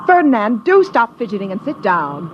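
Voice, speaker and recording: A woman's voice: mid-range voice, sing-song, normal speed, background noise, lightly acted. A woman playing a prim, scolding older sister in a 1950s radio drama. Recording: dull vintage radio recording.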